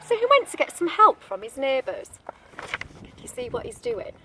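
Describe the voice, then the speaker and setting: A woman's voice: sits high, very animated, moderate pace, pushed and bright, a little hiss or hum. A woman reading a picture book aloud to young children, expressively, with chatty asides and questions to her listeners. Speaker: storybook reader, teacher-like.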